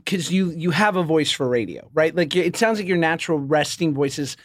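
A man co-hosting a podcast in a high voice, chatting in a teasing, animated way. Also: brisk, no room sound, clean studio sound. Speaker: podcast co-host. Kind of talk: casual chat and read ad.

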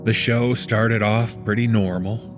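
A man reading a scripted first-person horror story in a measured, deliberate storytelling manner. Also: lowish voice, steady tone. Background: background music.

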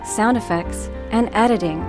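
A woman's voice: medium pitch, moderate pace. Female announcer, mid-range voice, scripted radio drama credit read over a music bed.

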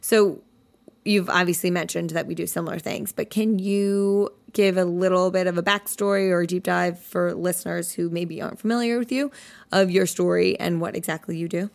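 A young woman hosts a podcast in a low voice with lots of vocal fry. She talks casually and loosely, saying like a lot and swearing now and then. She comes across as relaxed as she chats with her guest. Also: normal speed. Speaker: podcast host. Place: indoor studio in a building.

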